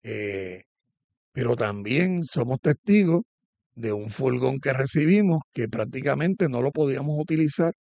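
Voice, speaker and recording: A man's voice: mid-range voice, expressive, measured pace, vocal fry, mellow, no room sound, dull audio. A man hosting a conversational religious interview. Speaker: host.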